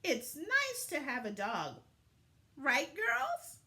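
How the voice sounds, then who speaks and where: A woman voicing a kindly, gentle cat owner from a storybook with exaggerated expression. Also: high-pitched, wildly swooping pitch, extremely slow, pitched above the performer's own voice, full character voice. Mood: pleased.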